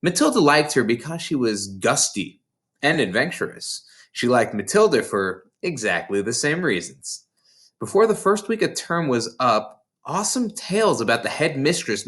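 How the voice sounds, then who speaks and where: A man reading a children's novel aloud as an expressive storybook narrator. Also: medium pitch, moderate pace. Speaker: storybook reader.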